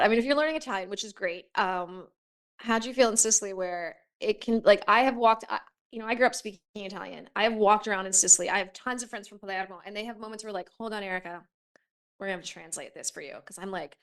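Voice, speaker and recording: A woman's voice: medium pitch, fast talker, a bit bright. A woman hosting a casual podcast interview, eager and curious, asking questions and often cutting in. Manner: eager, curious, talks over guest. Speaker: podcast host.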